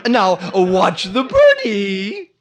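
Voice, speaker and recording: A man's voice: high voice, huge pitch swings, extremely slow, full character voice. Man playing a wisecracking, swooping-voiced license examiner. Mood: wry. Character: dry, wisecracking male DMV license examiner. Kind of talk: old-time radio comedy acting.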